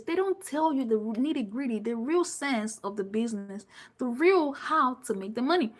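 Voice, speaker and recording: A woman with a Nigerian accent coaching informally in a live online session, emphatic and repeating phrases for stress as she answers questions. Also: fairly high pitch. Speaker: business coach.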